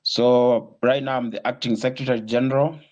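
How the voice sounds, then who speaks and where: A man in his twenties from Kenya, a guest on a political talk show, speaking earnestly and a little haltingly. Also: mid-range voice, fairly even intonation, darkish tone, lo-fi sound. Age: 20s. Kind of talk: casual interview talk.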